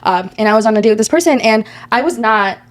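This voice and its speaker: A young woman with a Texas accent, co-hosting a casual podcast and telling stories in an expressive, laugh-prone way. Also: fairly high pitch, quick pace. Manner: expressive, animated storyteller, laughs easily. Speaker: podcast co-host. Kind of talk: casual chat, storytelling.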